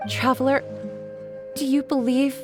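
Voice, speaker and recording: A woman's voice: high voice, level tone, a little slow. A woman voicing a timid, guarded young village woman in a video game, speaking hesitantly and politely. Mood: hesitant, wary. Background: music.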